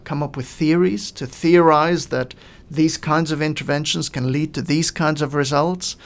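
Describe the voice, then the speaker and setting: A middle-aged man from Lebanon, a guest on a podcast, explaining his work in measured sentences with frequent pauses and fillers. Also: on the high side, creaky. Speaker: guest, coaching in education expert. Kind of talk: podcast interview answers.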